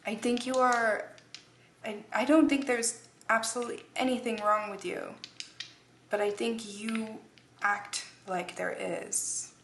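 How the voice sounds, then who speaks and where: A woman's voice: fairly high pitch, steady tone, measured pace, slight background noise. A woman giving a casual tarot reading to her viewers. She rambles and often restarts her phrases. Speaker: tarot reader.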